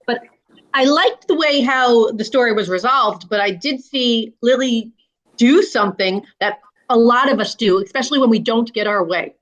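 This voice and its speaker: A woman's voice: on the high side, moderate pace, fairly bright tone, muffled recording. A woman educator reading a storybook aloud and chatting about it in an earnest, explanatory way. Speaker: school educator. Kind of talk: read-aloud of a children's book and casual discussion.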